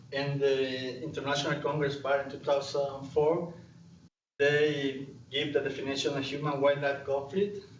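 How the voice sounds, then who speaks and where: A man's voice: mid-range voice, slight background noise. A young man from Ecuador with a Latin American Spanish accent gives a conference presentation on his research, hesitant with frequent fillers and restarts. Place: lecture room. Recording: distant mic, roomy hall, dull sound.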